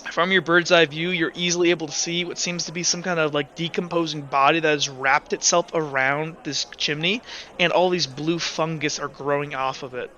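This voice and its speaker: A man narrating a tabletop game as game master, halting often with fillers while he describes the scene. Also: fairly high pitch, fairly even intonation, pushed and bright, slight background noise. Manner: rambling, halting with many fillers. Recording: dull lo-fi mic.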